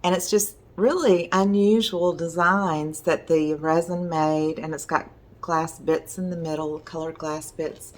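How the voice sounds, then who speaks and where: A middle-aged woman with a hoarse voice, chatting casually as a resin art teacher while showing her work at home. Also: sits low, fairly even intonation, a little slow. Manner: chatty, enthusiastic, meandering. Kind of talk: casual video talk showing artwork.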